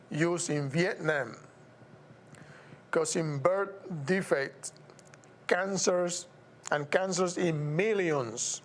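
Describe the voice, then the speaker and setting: A Puerto Rican man hosts his own talk show and speaks at an unhurried, deliberate pace. His voice sits a little high for a man, and he stresses points by repeating words. A faint hiss of noise runs under the recording. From Puerto Rico. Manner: measured, emphatic, repeats words and stumbles over some. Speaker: show host. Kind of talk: slow, deliberate solo commentary.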